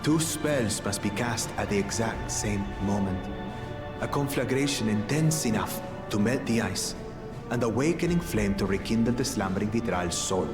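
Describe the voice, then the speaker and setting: An actor voicing a huge, menacing reptilian warrior in a video game, scheming and gloating. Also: male voice, lowish voice, lively intonation, moderate pace, close to an everyday voice. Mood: gloating, sly. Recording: echoey, loud game music behind.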